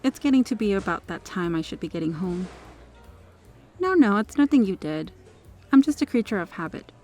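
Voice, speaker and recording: A woman's voice: medium pitch, big pitch swings, normal speed, darkish tone, natural voice. Woman acting as kind female diner, ASMR roleplay, polite, articulate, reassuring, music behind the voice. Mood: friendly, reassuring. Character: kind, well-spoken female restaurant regular, firmly protective.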